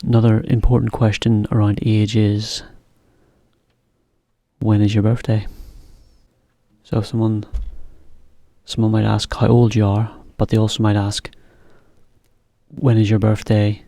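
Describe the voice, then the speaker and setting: Man, English teacher, slow and even delivery, gravelly low voice. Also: no echo, clean studio sound. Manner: slow, plain explanations with example sentences. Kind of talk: slow beginner English lesson.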